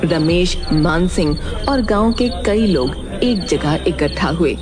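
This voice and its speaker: A woman's voice: on the low side, animated, takes it slowly. A woman giving scripted narration of a horror story.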